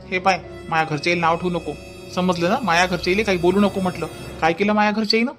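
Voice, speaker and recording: Man acting high-pitched, irritable Varhadi husband. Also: steady tone, quick pace, fairly bright tone, faint music behind. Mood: indignant. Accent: Varhadi Marathi. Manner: curt, indignant. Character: defensive, irritable village husband accused of drinking. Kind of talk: animated family comedy dialogue.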